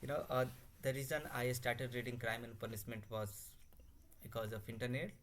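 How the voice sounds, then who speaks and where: A man's voice: medium pitch, steady tone, moderate pace, fairly bright tone, a little hiss or hum. A young man from Bihar in India, a native Hindi or Bhojpuri speaker, talking unscripted to his laptop with many fillers and restarts. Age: young adult. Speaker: language learner vlogger. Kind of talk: unscripted casual talk to camera. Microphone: laptop microphone. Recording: faint background ambience on a laptop mic.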